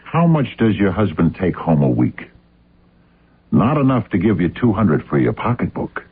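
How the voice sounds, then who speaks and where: An actor playing a hard-nosed, relentless investigator in a radio drama, questioning in a probing, accusatory, clipped way. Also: male voice, deep voice, very animated, moderate pace, husky, exaggerated voice. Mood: accusing. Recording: muffled narrow-band old radio sound.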